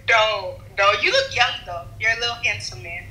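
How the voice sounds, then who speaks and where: Woman in her 20s on a video call, easygoing and laughy, super bright tinny voice, echoey. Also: fairly high pitch. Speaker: video chat stranger. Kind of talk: casual chat. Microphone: phone front camera at arm's length. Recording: echoey, bright phone-speaker audio.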